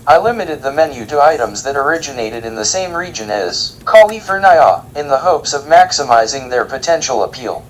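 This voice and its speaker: A man's voice: medium pitch, lively intonation, fairly quick, few pauses, a little hiss or hum, close to an everyday voice, poor audio. A man playing a pedantic, literal-minded alien food expert in an audio drama, speaking formally and precisely. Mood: earnest, pedantic. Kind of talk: scripted audio drama dialogue.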